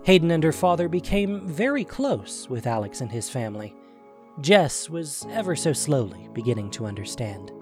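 A man's voice: on the high side, big pitch swings, moderate pace, darkish tone, soft background music. A man narrating an audio drama in a scripted, dramatic storytelling style.

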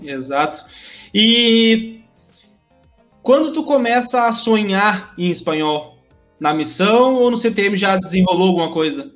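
A young man hosting an interview in an easygoing, casual way, often tagging his sentences with a quick question. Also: high-pitched, expressive, fairly bright tone, soft background music. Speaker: host, interviewer.

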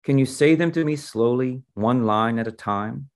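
Man reading as a measured, gravelly wise teacher. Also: mid-range voice, a little mellow, pitched above the performer's own voice, lightly acted. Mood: patient, instructive. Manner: measured, formal, instructive. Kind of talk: storybook reading.

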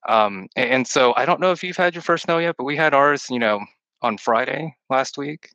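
A man's voice: mid-range voice, normal speed, pushed and bright, no room sound, lo-fi sound. A man from Texas, a podcast guest, chatting over a call in a long-winded, rambling way, with Chinese as his first language. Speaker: podcast guest, returned missionary. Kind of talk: casual chat over a call. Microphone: call-in line.

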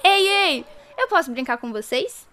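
A woman's voice: extremely high pitch, animated, a little slow, pushed and bright, heavily acted. A woman playing an eager, wistful little schoolgirl who asks childlike questions in a radio drama, with a Brazilian accent. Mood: hopeful.

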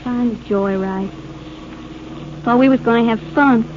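High-pitched reckless teenage girl, played by an actress, old radio. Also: a little slow, lightly acted. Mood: taunting. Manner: slangy, goading, needling. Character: reckless, thrill-hungry teenage girl robber, taunting and cold. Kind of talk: 1950s radio drama acting. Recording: muffled, noisy old radio recording.

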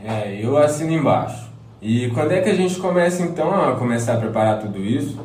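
A man's voice: mid-range voice, lively intonation, fairly quick, a little mellow, lightly acted. A man playing a plain-spoken, curious community member in a radio soap. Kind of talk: scripted radio soap dialogue. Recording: echoey, distant mic, faint noise.

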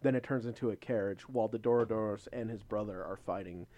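A man co-hosting a podcast, chatting casually through a recap in an informal, halting way as he searches for words. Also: on the low side, warm tone. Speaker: podcast co-host. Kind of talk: casual chat, episode recap.

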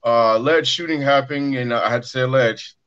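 A man's voice: medium pitch, a little fast, a bit bright, clean tone. A man hosting a casual discussion show, in slightly lo-fi audio. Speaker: podcast host. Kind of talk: casual discussion of current events.

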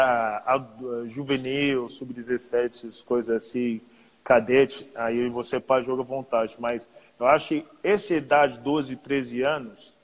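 A man with an American accent, a native English speaker, chatting casually in a slangy way full of repeated tags. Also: medium pitch. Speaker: podcast interviewer, basketball player. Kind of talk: casual chat. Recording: long reverb, roomy sound.

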